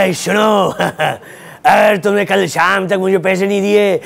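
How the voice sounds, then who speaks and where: A man's voice: high voice, steady tone, slow, vocal fry, background noise. A man hosting a satirical news comedy show, mocking the news playfully and chuckling at his own jokes. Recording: loud studio audience and music. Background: audience laughter and music.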